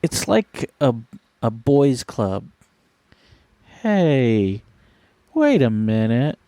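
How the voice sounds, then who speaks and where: A man's voice: medium pitch, big pitch swings, extremely slow, mellow. A man co-hosting a casual beer-tasting podcast, rambling through his points with lots of restarts and laughter. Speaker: podcast co-host. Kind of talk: casual chat over beers.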